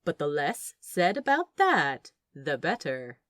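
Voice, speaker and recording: A woman's voice: deep voice, sing-song, slow, a bit bright, dry recording, clean studio sound. A woman reading a story aloud as a scripted narrator. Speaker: audiobook-style narrator of fan fiction.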